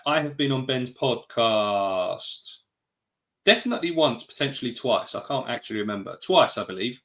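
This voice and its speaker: A man's voice: medium pitch, pushed and bright. A man with a British accent hosting a live podcast-style stream, chatting casually, greeting viewers and wandering off on asides. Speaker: podcast host.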